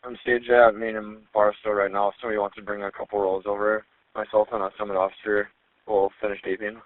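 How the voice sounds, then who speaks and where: A man's voice: fairly low pitch, level tone, quick pace, no echo. A man speaking as a police officer over a phone-like two-way radio.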